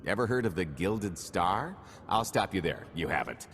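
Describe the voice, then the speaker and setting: An actor playing a sly, smooth-talking alien thief in a video game, with breezy salesman patter. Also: low-pitched, big pitch swings, normal speed, fairly bright tone, soft background music, full character voice. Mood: confident pitch. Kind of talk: video game cutscene acting. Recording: echoing hall, background hum.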